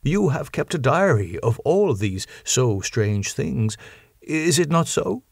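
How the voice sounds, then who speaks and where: A fervent old professor from abroad, acted out by a male audiobook reader, speaks in a raised pitch. He is solemn and halting, his English slightly broken, in a dry, close recording. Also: big pitch swings, creaky, husky, dry recording, full character voice. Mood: grave, earnest. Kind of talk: audiobook character voice.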